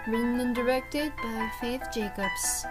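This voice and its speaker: Woman reading out credits, slow and measured, music clearly behind her. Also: on the high side. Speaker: credits announcer.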